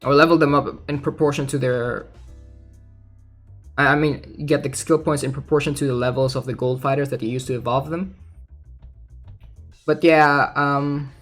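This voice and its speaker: A man streaming casually about a game, reading aloud and thinking out loud in a hesitant way with plenty of filler words. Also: mid-range voice, fairly even intonation, normal speed, long pauses, faint music behind. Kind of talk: casual livestream commentary, reading aloud and reacting.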